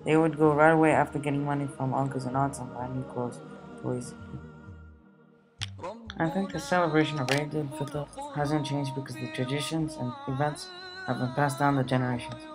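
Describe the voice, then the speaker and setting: A man's voice: medium pitch, normal speed, music in the background. Teenage boy reading a school essay. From United States. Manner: steady, plain read-aloud delivery. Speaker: student.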